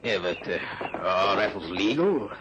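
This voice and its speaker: An actor playing a hesitant, plain-spoken stable owner in a radio drama, wary in manner, on a noisy old recording. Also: male voice, sits low, sing-song, unhurried, dull audio. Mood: hesitant, doubtful.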